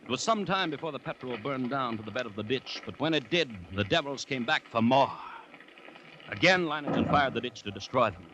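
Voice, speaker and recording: A man acting an anxious commissioner who narrates a 1940s radio drama in a measured, dramatic way full of dread. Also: medium pitch, lively intonation, background noise, close to an everyday voice. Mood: mounting dread. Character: anxious male government commissioner recounting a dreadful siege. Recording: restored 1940s radio broadcast.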